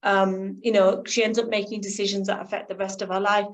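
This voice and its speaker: A middle-aged woman speaking as a guest author in a radio discussion, earnest and halting, often repeating herself. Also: medium pitch, little pitch movement.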